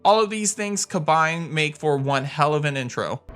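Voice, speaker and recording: A man's voice: on the high side, animated, moderate pace, over loud music. A man hosting a video countdown, giving scripted commentary on video games. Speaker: video host.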